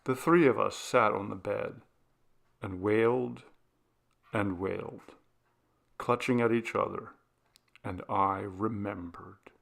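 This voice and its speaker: A man's voice: lowish voice, steady tone, slow, vocal fry, long pauses, fairly bright tone. A man narrating a scripted ghost story as an audiobook reader.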